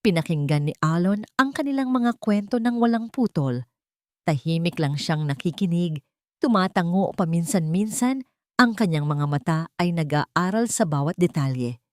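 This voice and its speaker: A woman's voice: on the low side, animated, normal speed, no echo, clean studio sound. A woman with a Filipino accent narrating a scripted dramatic story.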